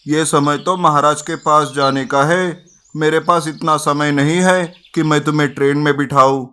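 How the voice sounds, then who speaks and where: A self-important, dismissive bear from a children's animal tale, played by a man. The voice is somewhat high for a male and very clear, with a haughty, scornful delivery. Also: steady tone, moderate pace, sharp tone.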